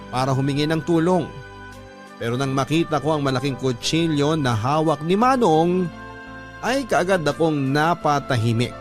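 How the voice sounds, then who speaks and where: A Filipino man narrating a letter dramatically in the first person, in radio drama style. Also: a little high. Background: music bed.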